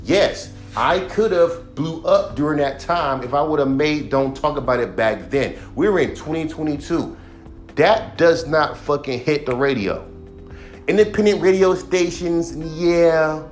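A man's voice: a little high, measured pace, soft background music. Blunt, swearing man ranting on a podcast. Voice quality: monotone voice. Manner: blunt, heated, heavy swearing. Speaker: podcast host and rapper. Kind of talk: profane casual rant.

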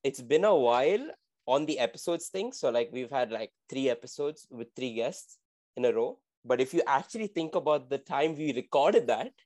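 A man's voice: medium pitch, lively intonation, moderate pace, darkish tone. Casual male podcast co-host in his twenties, rambling and slangy. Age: 20s. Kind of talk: casual chat.

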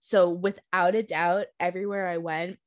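A woman's voice: lowish voice, normal speed. A young adult woman from the United States co-hosting a podcast, chatting casually with lots of "like" and "um". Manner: relaxed, fills with "like" and "um". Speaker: podcast co-host. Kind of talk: casual chat.

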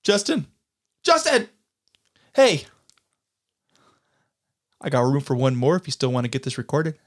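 A man's voice: medium pitch, normal speed, a little mellow, natural voice. A man playing a scrappy, resourceful friend in a comic sketch, sarcastic and blunt. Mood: matter-of-fact. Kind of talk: scripted comic sketch dialogue.

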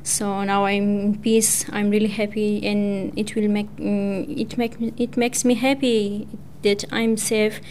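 A young woman from Pakistan, Persian her first language, talks slowly in careful, hesitant English. Her voice stays level and even, with little rise or fall. A faint hiss of noise sits behind her. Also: mid-range voice. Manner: hesitant, halting non-native English with fillers. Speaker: English student speaking on community radio. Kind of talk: prepared personal talk.

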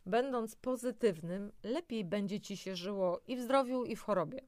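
A woman's voice: medium pitch, moderate pace, a little mellow, no echo. A woman presenting a self-help talk in an encouraging way, speaking directly to the listener, partly from a script with spontaneous asides.

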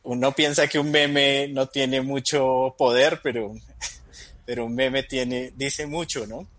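A man's voice: mid-range voice, slow pace, no echo. A man in his thirties, a Bible teacher, telling stories as a guest in a casual interview, often chuckling as he talks. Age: 30s. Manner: relaxed storytelling, chuckles mid-sentence.

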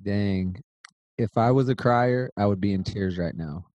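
A man's voice: lowish voice, unhurried, darkish tone, no room sound, highs cut off. A man hosting a podcast, introducing a guest with playful, hyped-up banter. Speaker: podcast host. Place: Redding, California.

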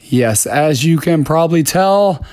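A man in his thirties hosting a dance podcast, hoarse from a lost voice, talking in a candid, chatty way. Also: fairly high pitch, lively intonation, measured pace, a little mellow. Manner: candid, chatty, self-deprecating. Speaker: podcast host. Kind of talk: casual solo podcast talk.

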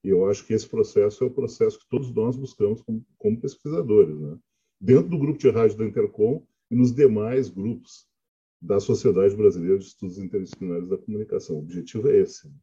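Mature man, very mellow dark timbre, husky, halting reflective academic remarks, muffled lo-fi call. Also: lowish voice. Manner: halting, reflective, frequent tag questions. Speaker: professor and research supervisor.

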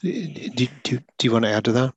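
A man's voice: medium pitch, lively intonation, a little fast, husky, no echo. A man who stutters, hosting a talk about school support, explaining things earnestly with occasional repeated words. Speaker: podcast host.

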